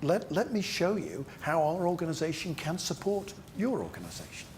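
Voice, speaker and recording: A man leading a business training seminar, speaking emphatically with rhetorical questions and deliberate repetition. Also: a little high, huge pitch swings, background noise. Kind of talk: interactive training seminar talk to a group.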